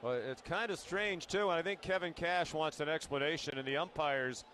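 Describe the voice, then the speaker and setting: A man's voice: on the high side, moderate pace, fairly bright tone. A man giving live commentary on a baseball game as a radio broadcaster. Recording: live ballpark broadcast, faint noise.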